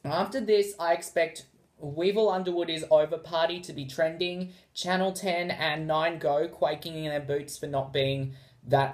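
A man's voice: fairly high pitch, darkish tone. Young Australian man, theatrical fan enthusiasm. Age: 20s. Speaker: YouTube reactor. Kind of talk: casual reaction vlog. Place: small bright room indoors.

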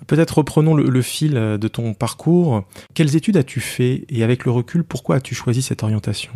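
A man's voice: mid-range voice, brisk, warm tone, no room sound, studio quality. A man hosting a coaching podcast, reading a scripted intro, then putting questions to his guest. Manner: clear, polished presenter delivery.

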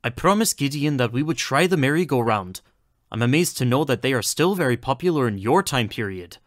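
A man playing a courteous, earnest 1920s gentleman scientist in an audio drama, speaking politely, formally and precisely. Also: medium pitch, expressive, brisk, no room sound, clean studio sound, close to an everyday voice.

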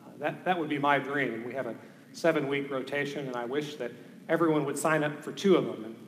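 A man's voice: mid-range voice, a little fast. A man in his thirties with a Southern American accent, a priest giving an earnest sermon, hesitant, with many ums. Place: large church sanctuary. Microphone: far camera. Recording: echoey church from far off.

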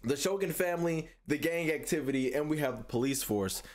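A man's voice: on the high side, fairly quick. A young man giving casual, slangy reaction commentary as a video host, often repeating his phrases. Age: young adult. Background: game trailer music.

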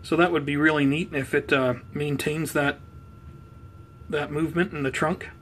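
A man giving an unhurried, conversational show-and-tell as a hobby vlogger, talking casually indoors. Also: on the high side, steady tone, a bit bright. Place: indoors at home by plant shelves. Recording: clear background noise, room ambience.

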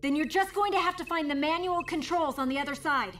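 An actress playing a young, serious mission operator in a video game, briefing in a clipped, businesslike way. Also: female voice, high voice, brisk, a bit bright, a little hiss or hum. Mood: composed. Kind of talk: video game cutscene acting.

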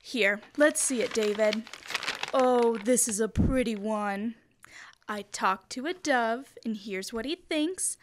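A young woman in her teens playing a gently chiding, reasonable suburban mother in old-time radio comedy style. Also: a little high, slow pace, a little hiss or hum. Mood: approving. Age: teenager. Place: school library. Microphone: standing stage microphone.

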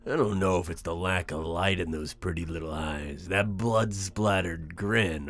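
A man playing an amused, flirtatious and menacing werewolf in an intimate roleplay audio drama, gravelly and husky, drawling and teasing. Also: deep voice, a bit bright, exaggerated voice. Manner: drawling, teasing, with breathy chuckles and hesitant asides.

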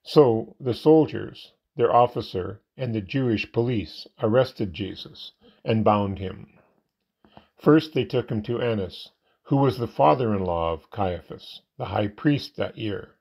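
A man gives a measured scripture reading. His voice sits fairly low and has a gravelly, creaky edge. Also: a little mellow. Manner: measured narration.

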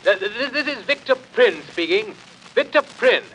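A man's voice: very high-pitched, lively intonation, normal speed, a bit bright, slight background noise, rough recording. An actor playing a big, hearty, blustering English sportsman who stammers in a radio play. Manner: stammering, bluff.

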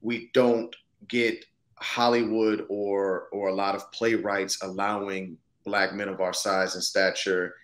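A man with a fairly low voice talks on a video call as an interview guest. He is reflective and informal, thinking aloud and often checking that the listener follows him. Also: little pitch movement, slow, fairly bright tone. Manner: thoughtful, casual, punctuated with "you know what I'm saying". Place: indoor room, video call. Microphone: video call, seated close to the camera.

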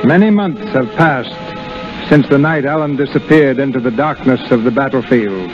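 A man's voice: medium pitch, moderate pace. A man giving formal, polished scripted narration for a 1930s radio drama. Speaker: announcer, narrator. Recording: muffled 1930s radio, music behind.

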